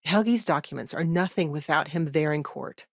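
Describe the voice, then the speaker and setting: A woman playing a pragmatic, guarded lawyer in a video game, speaking in a measured, matter-of-fact way with dry wryness. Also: sits low, slightly rough, dry recording. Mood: businesslike. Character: businesslike, wry female lawyer, pragmatic and guarded. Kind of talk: scripted game dialogue, conversational.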